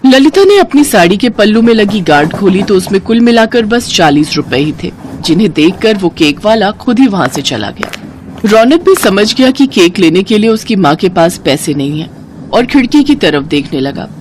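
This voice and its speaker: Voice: mid-range voice, very animated, normal speed, a little hiss or hum. A storyteller narrating a scripted moral tale.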